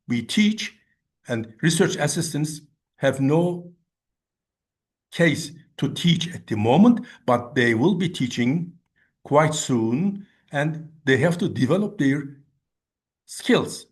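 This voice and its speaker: A man with a Turkish accent and Turkish as his first language, giving an academic conference presentation in a measured way, often restating points. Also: mid-range voice. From Turkey. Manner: measured, restates and rephrases with "I mean".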